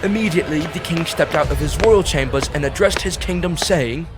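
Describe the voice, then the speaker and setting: A man's voice: a little high, a little fast, noisy background. A man narrating a fantasy audio drama in a scripted storybook style. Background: music.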